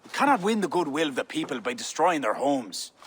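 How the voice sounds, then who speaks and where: Solemn, commanding pious king, widely swinging pitch. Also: very animated, a little fast, husky. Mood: righteous, stern. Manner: solemn, declamatory. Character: pious medieval Irish high king, grave, commanding. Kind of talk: video game cutscene acting.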